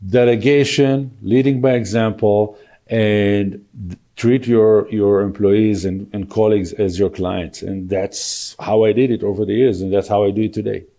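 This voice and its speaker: A man in his sixties from Israel, talking as a guest in a casual interview, measured and halting with many fillers. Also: on the low side, steady tone, dark tone. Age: 60s. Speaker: podcast guest, real estate broker.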